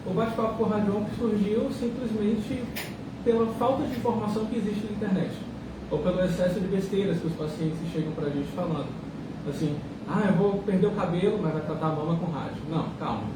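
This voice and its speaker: Guy doctor, kinda high voice, chill and chatty, echoey room, noisy. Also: fairly quick, distant mic. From Salvador, Bahia, Brazil. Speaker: radiation oncologist. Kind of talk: interview talk.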